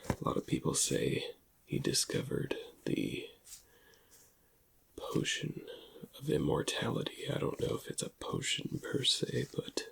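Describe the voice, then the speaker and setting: Whispering man with heavy gravelly creak. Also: mid-range voice, sing-song. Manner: slow, hushed, lingering delivery. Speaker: ASMR roleplay performer. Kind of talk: whispered ASMR roleplay.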